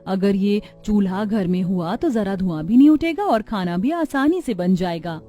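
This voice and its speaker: A woman's voice: mid-range voice, expressive, moderate pace, mellow, natural voice. A woman voicing a resourceful, caring young village housewife and mother in a cartoon story. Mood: curious, practical.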